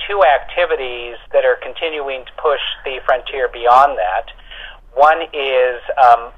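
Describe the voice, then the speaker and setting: A man speaks as an official on a conference call, setting out policy point by point. He is formal and deliberate, with a medium-pitched voice. The line is thin and phone-like. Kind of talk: explaining policy to reporters. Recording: narrow, tinny telephone conference line.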